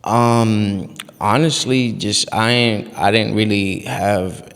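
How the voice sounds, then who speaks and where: Young guy, smooth but gravelly, easygoing slangy talk, echoey room. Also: fairly low pitch, steady tone, slow pace, a bit bright. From Colombia, raised in Miami. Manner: laid-back, slangy, swears often. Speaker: guest rapper. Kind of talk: casual interview chat. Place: radio studio.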